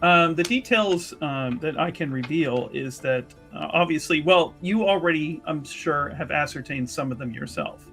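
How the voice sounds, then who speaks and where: A man's voice: fairly high pitch, moderate pace, hardly any pauses, a bit bright, faint music behind. A man playing a polite, diplomatic female investigator in a tabletop roleplaying game, speaking in careful, formal, hedging phrases.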